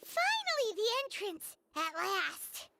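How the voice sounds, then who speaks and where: An actress voicing a tiny, plucky childlike fairy companion in a video game, squeaky, eager and excitable. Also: female voice, takes it slowly, gravelly, very noisy, heavily acted. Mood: relieved, eager. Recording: loud game music behind the voice.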